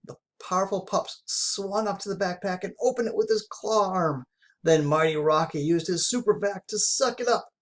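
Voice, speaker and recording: Expressive storybook reader, mid-pitched voice. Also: moderate pace. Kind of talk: children's storybook read-aloud.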